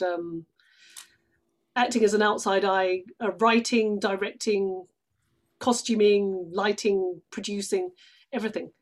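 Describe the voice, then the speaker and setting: A woman talks as a guest in a casual interview. She explains things conversationally, in a mid-range voice. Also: medium pitch. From London, England. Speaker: interview guest, stage actress.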